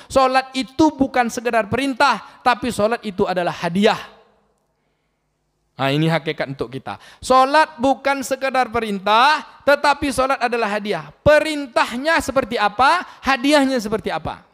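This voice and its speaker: A man's voice: very high voice, a bit bright. A man, preaching a sermon and questioning the congregation directly. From Riau, Indonesia. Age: 40s. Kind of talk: mosque sermon. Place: mosque hall. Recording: long mosque hall reverb.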